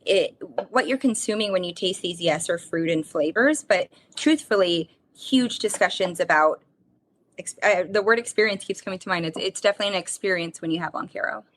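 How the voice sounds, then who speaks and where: A woman's voice: mid-range voice, brisk. A woman guest in an interview, talking expressively and searching for words. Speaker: guest, drinks company team member. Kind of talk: casual interview talk.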